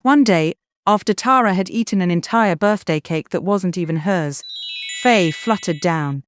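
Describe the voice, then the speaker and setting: A woman narrating a children's bedtime story in an expressive, scripted way. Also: on the low side, moderate pace, faint music behind.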